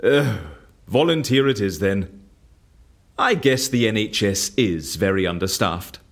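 A man's voice: lowish voice, expressive, unhurried. A man in an audio drama playing an eccentric, suave, flippant fixer with a breezy, drily playful manner. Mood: breezy, businesslike. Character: eccentric, suave, flippant male fixer who disappears people.

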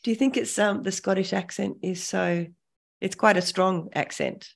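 A woman's voice: mid-range voice, fairly even intonation, moderate pace, vocal fry. A woman hosting a podcast, chatting casually in an upbeat, effusive and giggly way. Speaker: podcast host. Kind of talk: upbeat casual interview chat.

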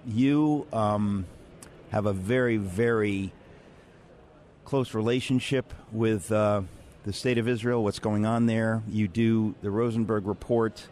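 A man's voice: on the low side, steady tone, takes it slowly, darkish tone. A man hosting a conversational radio interview in a wry, candid manner, speaking from a convention center. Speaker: talk show host. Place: on a platform in a large convention center. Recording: noisy crowded convention floor.

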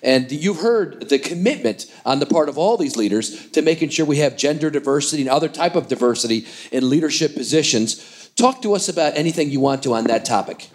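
A man's voice: on the high side, expressive, a little fast. Friendly guy moderating a panel, easygoing, tossing questions to the panel.

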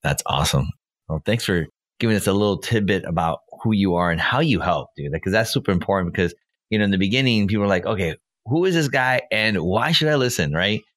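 A man's voice: on the low side, animated, fast, a bit bright, dry recording, studio quality. A man born in Colombia hosting a casual podcast interview, enthusiastic and a bit rambling, repeating his praise. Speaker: podcast host.

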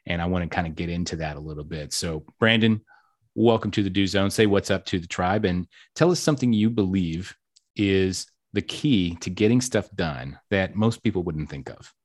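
A man's voice: low-pitched, normal speed. A man hosting a casual podcast interview, talkative and upbeat, with self-deprecating jokes. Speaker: podcast host.

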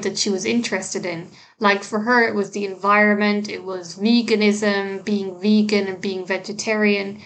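A woman's voice: mid-range voice, a bit bright. A woman from Ireland with an Irish accent, hosting a solo podcast and giving learners earnest, encouraging tips, with frequent restarts and fillers. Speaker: podcast host, language teacher.

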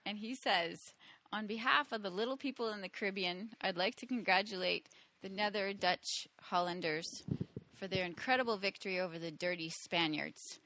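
A woman co-hosting a podcast, giggly and soft-spoken, chatting and reading out listener feedback. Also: mid-range voice, normal speed, slight background noise, low-quality recording. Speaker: podcast co-host.

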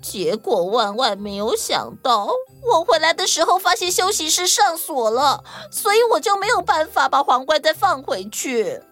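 Woman voicing a high-pitched, defensive kangaroo lady. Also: sing-song, moderate pace, hardly any pauses, fairly bright tone. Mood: sheepish confession. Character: female kangaroo, defensive, then tearfully remorseful. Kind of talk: children's audio story acting. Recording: music under the voice.

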